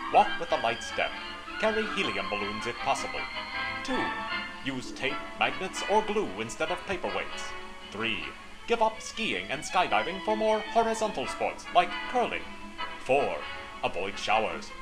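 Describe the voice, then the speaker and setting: A deadpan announcer delivers a mock public service message over a loud music bed. The voice is lively in pitch while staying earnest and instructive. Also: rough recording.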